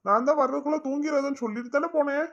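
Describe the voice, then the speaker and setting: A woman voicing a kind, indulgent father who speaks calmly and teases gently. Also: high voice, racing. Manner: calm, gently teasing. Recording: dull lo-fi sound.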